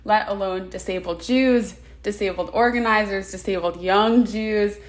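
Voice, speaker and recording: Young woman in her twenties, earnest interview guest, talks quickly, video call sound, mid pitch. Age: 20s. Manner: earnest, fast-talking. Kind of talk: casual interview answers.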